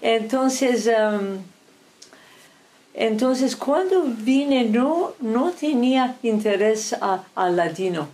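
An older woman from Turkey, whose first language is French, telling her life story in an interview, halting often and restarting her words. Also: fairly high pitch, animated, darkish tone, a little hiss or hum. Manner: halting, many restarts and fillers.

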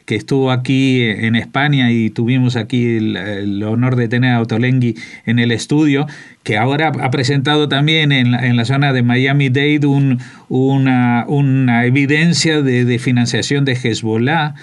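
A man's voice: medium pitch, fairly even intonation, moderate pace. A man with an Argentine accent hosting a radio interview, talking in a conversational way with some hesitation. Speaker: radio host.

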